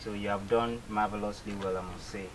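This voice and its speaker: A man playing a company CEO in a skit, measured at first and then indignant and scolding, recorded with background noise. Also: fairly low pitch, fairly even intonation, natural voice. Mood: calm. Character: stern middle-aged male company CEO. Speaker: company boss. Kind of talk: amateur skit acting.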